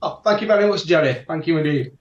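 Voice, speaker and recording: A man with a Yorkshire accent tells stories in a fairly high voice. He speaks slowly and carefully so that each word comes through. The sound is dull and lo-fi, in a room with plenty of echo. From England (Barnsley, South Yorkshire). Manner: deliberate, laughing storyteller. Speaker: guest martial artist. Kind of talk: casual interview chat. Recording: echoey room, dull lo-fi audio.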